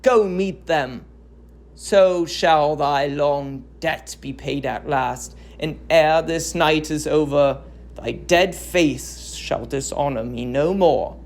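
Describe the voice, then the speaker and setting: A woman's voice: low-pitched, slow pace, darkish tone, voice pushed down. A woman voicing a stern, wrathful Greek king with a commanding, declamatory delivery in a dramatic audiobook. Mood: furious command.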